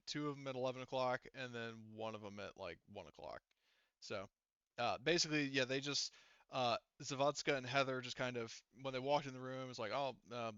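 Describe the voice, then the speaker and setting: A man running a tabletop game as game master over a voice call, narrating and chatting casually in halting, rambling stretches full of fillers. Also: medium pitch, a bit bright, clear, resonant tone, dry recording, highs cut off. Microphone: online voice call microphone.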